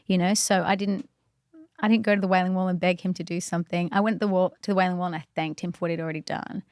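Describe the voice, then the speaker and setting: A woman's voice: fairly low pitch, fairly even intonation, quick pace, darkish tone, dry recording. A woman guest on a podcast, telling personal faith stories in an earnest, chatty way, full of "like" and "you know". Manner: earnest and reflective. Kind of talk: casual faith storytelling.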